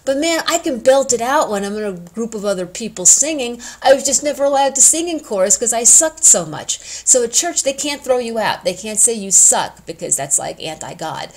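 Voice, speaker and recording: A woman's voice: mid-range voice, expressive, normal speed. Conversational woman instructor with emphatic delivery. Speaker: education instructor addressing student teachers. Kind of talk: informal instructional talk.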